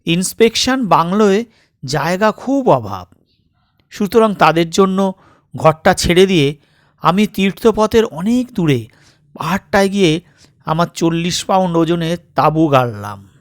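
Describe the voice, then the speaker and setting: A man narrating a scripted suspense story dramatically, in measured short phrases with pauses. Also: high-pitched, animated, no room sound, clean studio sound. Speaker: storyteller, narrator of audio stories.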